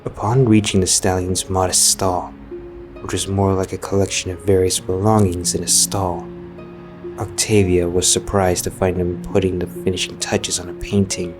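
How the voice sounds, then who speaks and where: A man's voice: deep voice, level tone, normal speed. A man narrating a scripted story as a storyteller. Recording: music behind the voice.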